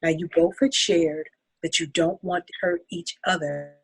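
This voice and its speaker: A woman playing a composed, patient couples counselor in a dramatic table read, talking in a measured, instructive way. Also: lowish voice, fairly even intonation, slightly rough, low-quality recording. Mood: calm, instructive.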